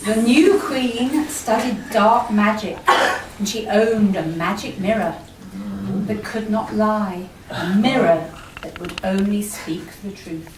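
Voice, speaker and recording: A woman's voice: medium pitch, takes it slowly. A woman narrating an amateur stage pantomime live, reading the story theatrically with informal ad-libs. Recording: echoey, noisy hall from far off.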